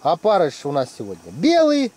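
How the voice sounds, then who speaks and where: Joking man with a lively, high voice. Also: normal speed. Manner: joking, teasing friends, lively. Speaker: fishing vlogger. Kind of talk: casual commentary. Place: ice-fishing tent on a frozen lake.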